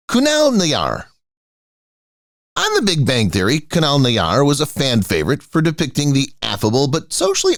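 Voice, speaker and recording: A man's voice: mid-range voice, no echo, clean studio sound. A man reading a scripted celebrity retrospective as a voice-over, lifting each name as a heading. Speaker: narrator.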